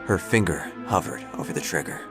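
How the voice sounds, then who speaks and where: A man playing a wordy, inquisitive obituary writer, narrating a comic mystery in a precise, deadpan, theatrical way. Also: low voice, fairly quick, vocal fry, faint music behind. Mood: deadpan, suspenseful. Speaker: narrator and lead character.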